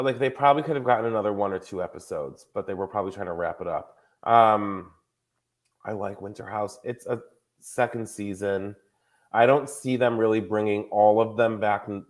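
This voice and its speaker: A man in his late thirties with a fairly low voice, hosting a casual podcast. He chats loosely and quickly strings thoughts together with lots of filler words. His tone stays relaxed and conversational. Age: 30s. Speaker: podcast host. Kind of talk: casual chat.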